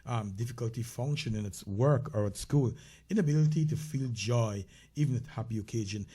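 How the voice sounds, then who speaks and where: A man's voice: medium pitch, a little fast, mellow, slightly rough. A man with a Caribbean accent co-hosting a casual health talk show, speaking conversationally with many fillers and restarts. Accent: Caribbean English.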